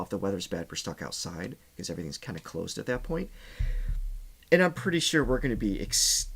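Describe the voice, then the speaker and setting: A man's voice: fairly low pitch, fairly bright tone. A middle-aged man hosting a music livestream in a casual, chatty way, friendly and joking as he talks. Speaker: host of a music reaction livestream. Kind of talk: casual livestream chat with announcements.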